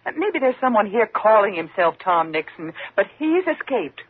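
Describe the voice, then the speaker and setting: An actress playing an agitated, pleading, frightened woman in a 1950s radio thriller, speaking haltingly. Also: mid-range voice, sing-song, breathy edge, dry recording. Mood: desperate, insistent. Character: frightened, insistent woman haunted by a convict. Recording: muffled, lo-fi 1950s radio recording.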